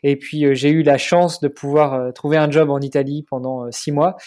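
A man in his forties talks casually as a podcast guest. His voice sits a little high for a man, and he hesitates often, filling pauses and restarting his sentences as he tells his story. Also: fairly even intonation, warm tone. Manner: hesitant, many fillers and restarts. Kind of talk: casual interview.

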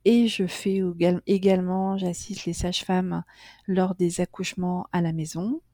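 A woman's voice: fairly low pitch, level tone, moderate pace, mellow, no echo, studio quality. A middle-aged woman speaking casually in an interview, chatty and hesitant, with many fillers and restarts. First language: French. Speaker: interview guest.

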